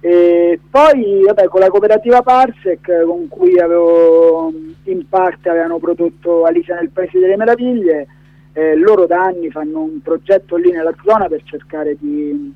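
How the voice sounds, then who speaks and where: A man's voice: a little high, no room sound. A man being interviewed on the radio, talking in a hesitant, discursive way full of hedges. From Rome area, Italy. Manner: hesitant, discursive, many hedging fillers. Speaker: documentary director, interview guest. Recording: dull lo-fi phone-in sound.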